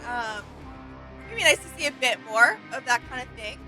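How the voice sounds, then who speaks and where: A woman with a high, bright voice chats casually as a reaction co-host. She is agreeable, with music faintly behind her. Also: lively intonation, normal speed. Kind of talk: casual chat, reaction commentary.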